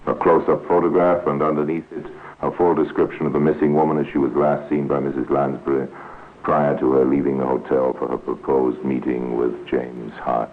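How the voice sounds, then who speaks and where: A man narrating a 1950s radio crime drama in a grave, measured, deliberate manner. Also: a bass voice, level tone. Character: solemn storyteller narrating a police case. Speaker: narrator and host. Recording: tinny, noisy 1950s radio recording.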